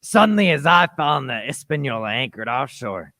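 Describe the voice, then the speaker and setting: A man's voice: mid-range voice, big pitch swings, fairly quick, creaky, pushed and bright, exaggerated voice. A man playing an adventurer who narrates his perilous tale in a scripted radio play. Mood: suspenseful.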